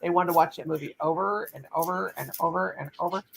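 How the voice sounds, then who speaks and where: A woman's voice: lowish voice, steady tone, fast, gravelly, background noise. An older woman hosting a casual live chat on her laptop, telling a rambling story full of restarts. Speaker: channel host.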